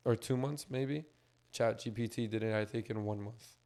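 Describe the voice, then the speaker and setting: A man co-hosting a casual podcast, slightly hoarse as his voice recovers, explaining things in a laid-back way with lots of fillers. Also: lowish voice, normal speed, a little mellow. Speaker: podcast co-host.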